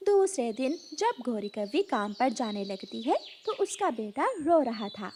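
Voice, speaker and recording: A woman's voice: on the high side, very animated, a little slow, a little mellow, a little hiss or hum. A woman narrating a scripted children's story.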